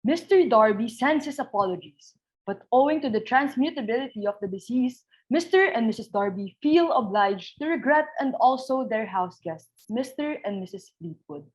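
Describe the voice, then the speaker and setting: Woman acting a high-pitched, deferential servant. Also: expressive, moderate pace, a little mellow, close to an everyday voice, rough recording. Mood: formal. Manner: formal, deferential. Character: deferential, formal household servant. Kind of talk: dramatic reading of a stage comedy.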